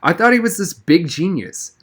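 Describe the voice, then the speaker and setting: A man's voice: high voice, animated, moderate pace, a bit bright, slightly rough, pitched above the performer's own voice, full character voice. Man voicing a defensive, sheepish schoolboy. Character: defensive, uneasy schoolboy hero, sheepish and quick-answering.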